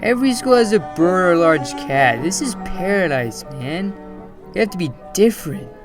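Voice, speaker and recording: A man playing a dismissive, emphatic teenage high school student. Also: very animated, normal speed. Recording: music under the voice.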